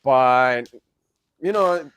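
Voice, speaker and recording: Smooth, clear-voiced man, casual chat. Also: mid-range voice, animated, extremely slow, fairly bright tone, no room sound. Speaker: podcast panelist. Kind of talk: casual panel discussion about video games.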